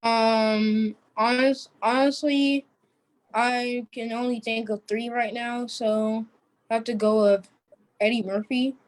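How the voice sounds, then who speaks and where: Female guest, casual and unsure, pausing often to search for the next word. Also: on the high side, fairly even intonation, rough recording. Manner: hesitant, searching for words. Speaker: young cast member, interview guest. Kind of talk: casual chat.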